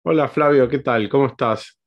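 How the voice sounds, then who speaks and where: A man with an Argentine accent hosting an interview, halting with frequent fillers and tag questions. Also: mid-range voice, muffled recording. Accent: Argentine Spanish. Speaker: host.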